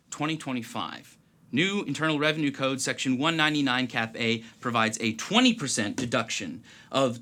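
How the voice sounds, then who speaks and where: An actor playing a dry, clipped private investigator in a film-noir style skit, with a gravelly drawl. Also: male voice, fairly high pitch, sharp tone. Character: hard-boiled male private eye, clipped and dry. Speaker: detective lead in a tax explainer skit.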